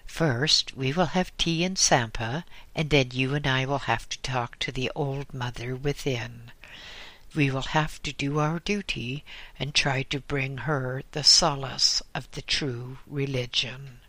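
A narrator voicing a calm, compassionate old lama who speaks in a gentle, measured way. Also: medium pitch, dry recording.

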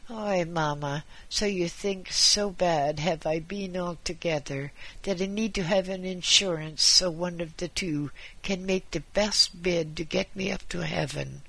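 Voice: mid-range voice, measured pace, creaky, hardly any pauses, pushed and bright, voice pushed up, heavily acted, low-quality recording. A narrator voicing an ailing, wry old husband who pleads in broken English full of "oi". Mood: wry, resigned. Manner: foreign-tinged broken English syntax, exclaims "oi".

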